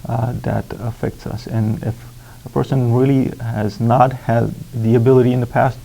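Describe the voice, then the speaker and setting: A man with a gravelly, dark voice of medium pitch answers as an expert. His explanations are formal and deliberate, broken by halting pauses. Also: little pitch movement, slight background noise, rough recording. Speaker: expert interviewee. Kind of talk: explanatory interview.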